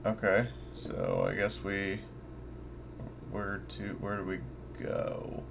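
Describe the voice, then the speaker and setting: Brief: male tabletop player, lowish pitch, casual and sweary, home mic with faint noise. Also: level tone, slow pace, vocal fry. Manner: informal, sweary. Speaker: player in a tabletop roleplaying game. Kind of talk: casual online game session talk. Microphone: online voice chat mic.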